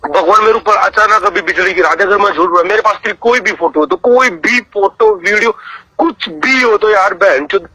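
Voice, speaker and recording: A man with a high, sharp voice pleads in a private phone argument. He sounds tearful and distressed, repeating himself and swearing oaths. It is a recorded phone call. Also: normal speed. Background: phone call audio.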